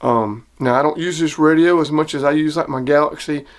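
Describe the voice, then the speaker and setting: A man with a somewhat high-pitched voice hosts a hobby demonstration. He talks informally and plainly, with clear enthusiasm for the gear he is explaining. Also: a little fast. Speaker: CB radio hobbyist and video host. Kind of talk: casual product demonstration.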